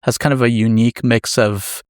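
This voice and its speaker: A man's voice: mid-range voice, flat delivery, normal speed, clean tone, dry recording, studio quality. A man hosting an interview podcast, speaking casually and feeling his way through his questions.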